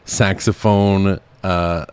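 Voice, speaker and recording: Low-voiced, blunt, sweary man. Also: little pitch movement, drawn-out words, clear, resonant tone. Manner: blunt, heavily profane. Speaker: podcast co-host. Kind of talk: casual comedic movie-review chat.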